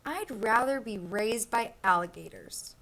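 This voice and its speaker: A woman's voice: a little high, lively intonation, a little slow, a bit bright, a little hiss or hum. A woman voicing a sloppy, cheerful young boy who narrates his own storybook, read expressively. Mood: proud, unbothered.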